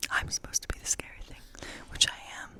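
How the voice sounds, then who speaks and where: A woman playing a quirky, playfully spooky witch in a whispered ASMR role play, murmuring haltingly and repeating words to herself. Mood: musing, bashful. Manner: hushed, halting, muttering repetitions to herself.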